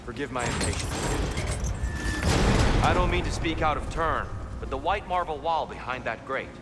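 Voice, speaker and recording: An actor playing a cunning old god disguised as a polite warrior, speaking in a courtly, formal way in a video game. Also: male voice, medium pitch, animated, normal speed, lightly acted. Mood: courteous. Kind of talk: video game cutscene dialogue. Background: loud game music.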